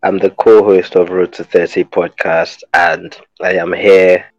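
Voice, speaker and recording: A man from Nigeria with a very deep voice tells stories in a relaxed, jokey way. The sound is dry and dull, like a lo-fi recording. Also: a little slow, creaky, no room sound. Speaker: podcast co-host. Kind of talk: casual podcast chat.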